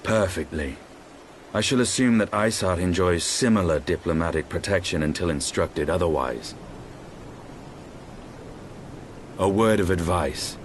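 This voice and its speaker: An actor playing a grim, proud dragoon knight in a fantasy game, speaking formal archaic English in a measured, guarded manner. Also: male voice, low-pitched, slight background noise, close to an everyday voice. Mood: guarded, dry.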